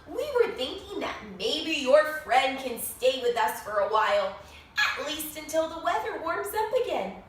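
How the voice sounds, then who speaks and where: A woman voicing an anxious, caring mother in a children's storybook, firm but gentle. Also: high-pitched, big pitch swings, measured pace. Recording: echoey room, distant mic, faint noise.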